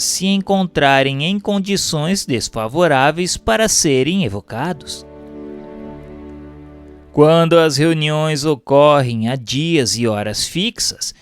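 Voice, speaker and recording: A man with a Brazilian accent reading a doctrinal text aloud as a narrator, in a formal, measured, didactic way. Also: on the high side. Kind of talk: scripted audiobook-style narration of a doctrinal text.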